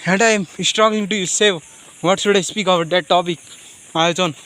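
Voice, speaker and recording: A man with a Nepali accent speaking off the cuff in a personal monologue, halting and rambling, often repeating his phrases. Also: high voice, fairly even intonation, pushed and bright. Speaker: vlogger.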